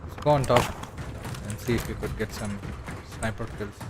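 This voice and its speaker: A man's voice: on the low side, moderate pace, noisy background. A man whose first language is Tamil, thinking aloud in casual commentary while he plays a shooter game on a livestream.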